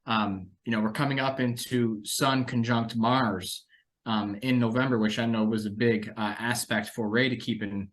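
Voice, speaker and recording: A man's voice: mid-range voice, steady tone, moderate pace. A man, hosting a conversational discussion of financial markets as an analyst.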